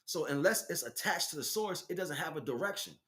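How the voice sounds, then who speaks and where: A man's voice: on the high side, animated, a little fast, fairly bright tone. A man giving an unscripted spiritual teaching as a livestream host, speaking emphatically and repeating phrases for stress. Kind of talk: unscripted spiritual monologue, preaching.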